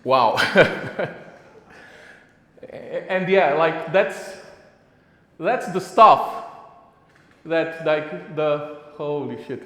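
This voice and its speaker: A man's voice: a little high, extremely slow, pauses often, a bit bright. A man with a Romanian accent gives a live conference talk in a chatty, self-mocking way, full of restarts and fillers. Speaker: conference speaker. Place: conference hall before a live audience. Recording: echoey hall with a long reverb.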